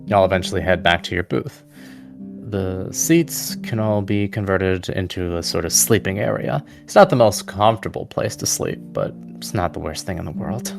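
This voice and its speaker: Very deep-voiced man narrating a fantasy game. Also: moderate pace, creaky. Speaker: game master. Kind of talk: narrating a tabletop roleplaying game. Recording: voice over prominent background music.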